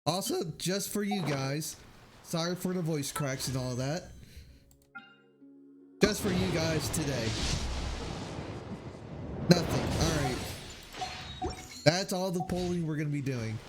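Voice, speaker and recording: A young man giving casual livestream game commentary in a halting, rambling way. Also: fairly high pitch. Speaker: game streamer. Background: game music.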